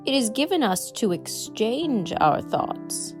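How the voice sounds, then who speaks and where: A woman's voice: fairly high pitch, big pitch swings, slow. A woman playing a stern but indulgent, literal-minded old grandmother, serious and reproving, in a dramatized story. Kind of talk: dramatized audiobook character acting. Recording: music bed under the voice.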